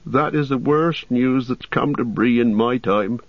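A man voicing a fussy, excitable middle-aged innkeeper who frets loudly in a raised voice. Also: dry recording, muffled recording. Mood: flustered. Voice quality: excitable, high and loud, quick to fret.

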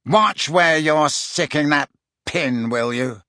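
A man's voice: a little high, slow, bright, forceful tone, no echo, studio quality. Man doing a drawling, spoiled teenage boy sneer. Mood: petulant.

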